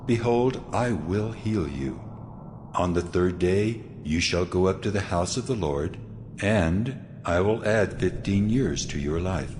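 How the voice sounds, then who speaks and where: Very deep, majestic God. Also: male voice, animated, background noise. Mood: solemn, reassuring. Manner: solemn, measured. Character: God speaking, majestic, grave and compassionate male voice. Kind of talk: dramatized scripture reading.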